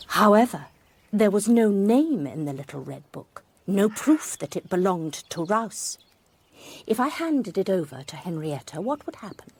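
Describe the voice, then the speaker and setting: Husky, wry schoolmistress narrator. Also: female voice, medium pitch, big pitch swings, a little mellow, heavily acted. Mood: wry, reflective. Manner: wry, precise, measured storytelling. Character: sharp-eyed, wry former schoolmistress narrating a mystery. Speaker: narrator and lead character. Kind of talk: first-person dramatised narration, radio drama.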